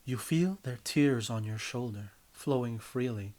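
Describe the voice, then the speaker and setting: A man narrating a scripted story in a theatrical, emphatic way, with deliberate phrasing and a husky voice. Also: mid-range voice, darkish tone. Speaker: writer and reader of own story. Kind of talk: dramatic scripted story narration in second person.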